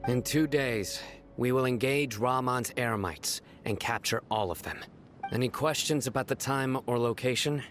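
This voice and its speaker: An actor playing a stern, commanding general in a game cutscene, curt and stoic with an authoritative manner. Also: male voice, medium pitch, moderate pace, close to an everyday voice. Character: stern, terse, commanding young male general. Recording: clean voice over background music.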